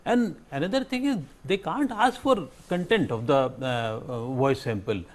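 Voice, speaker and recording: A man with an Indian accent giving his views as a panel guest, halting and searching for words. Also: a little high, expressive. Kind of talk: panel discussion commentary.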